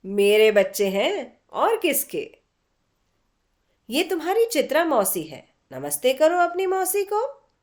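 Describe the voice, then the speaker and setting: A woman's voice: high voice, huge pitch swings, slow pace, a little mellow, voice pushed up, heavily acted. A woman voicing a teasing, affectionate mother in a story reading, playfully ordering people about. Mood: playfully bossy. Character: warm, teasing mother, playfully commanding old friend.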